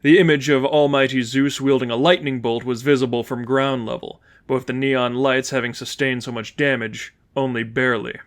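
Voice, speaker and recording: A man's voice: medium pitch, fairly even intonation, moderate pace, hardly any pauses. A man reading fan fiction aloud as scripted audiobook narration.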